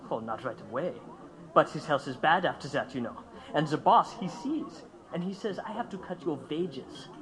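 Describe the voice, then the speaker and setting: A man's voice: fairly high pitch, expressive, moderate pace, a bit bright, poor audio. A man playing a young immigrant anarchist in an audio drama, taunting and intense, speaking simple broken English. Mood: bitter. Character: young fiery German immigrant anarchist bomb-maker, reckless and bitter.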